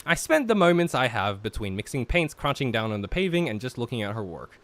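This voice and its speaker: A man's voice: mid-range voice, big pitch swings, a little fast, fairly bright tone. A man hosting a visual novel playthrough, mixing casual, exasperated banter with read-aloud narration.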